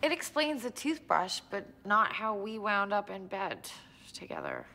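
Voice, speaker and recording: An actress playing a teasing, quick-talking young woman who is a tech whiz. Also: medium pitch, wildly swooping pitch, creaky, pushed and bright, slight background noise, full character voice. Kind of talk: TV drama acting.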